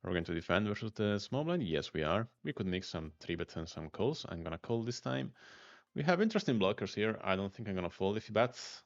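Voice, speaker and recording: A man's voice: lowish voice, very animated, brisk, no echo, dull audio. A man with an Italian accent, talking through his poker hands on a live stream, thinking aloud and muttering hand names. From Italy.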